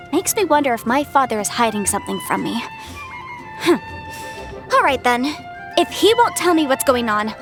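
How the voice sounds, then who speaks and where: Video game casting: bright, bookish young legal adviser, high-pitched, very expressive swings, confident and chatty. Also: female voice. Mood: puzzled, curious. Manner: articulate, expressive, self-assured, slightly bookish. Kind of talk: scripted game character voice lines.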